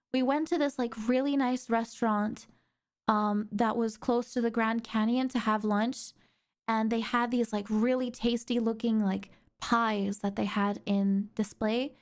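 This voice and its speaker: A young woman streamer with a sore throat, chatting excitably and rambling with lots of fillers. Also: fairly high pitch, clear, resonant tone. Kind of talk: casual stream chat.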